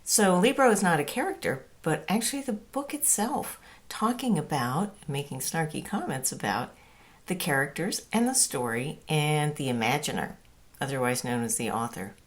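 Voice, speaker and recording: Low, swooping woman author. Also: expressive, moderate pace. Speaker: children's author. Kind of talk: scripted trivia talk to young readers.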